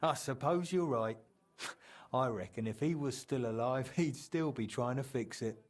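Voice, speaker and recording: A man's voice: mid-range voice, a little slow, natural voice. An actor playing a jovial, roguish middle-aged tradesman in a video game, colloquial and teasing. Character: roguish, jovial aging tradesman reminiscing about wild youth. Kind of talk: video game dialogue acting.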